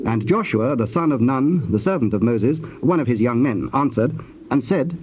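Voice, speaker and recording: A man narrating dramatized scripture in archaic English in a measured, formal manner. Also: medium pitch, expressive. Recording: dull, very noisy old tape.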